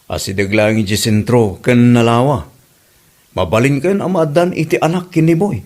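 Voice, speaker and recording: A man's voice: medium pitch, lively intonation, normal speed, a little mellow, lightly acted. A man acting as a businesslike landlord in a comedy drama. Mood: matter-of-fact.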